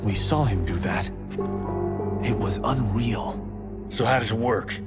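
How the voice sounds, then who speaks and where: Man as an incredulous game hero over loud music. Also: lowish voice, a little slow, lightly acted. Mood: amazed, curious. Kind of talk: naturalistic video game acting.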